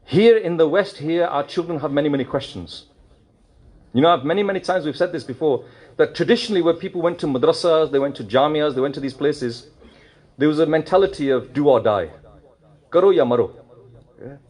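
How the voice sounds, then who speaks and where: A man giving a mosque sermon, animated and full of rhetorical questions, slipping in Urdu and Punjabi phrases. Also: a little high, a little fast. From United Kingdom. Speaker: preacher.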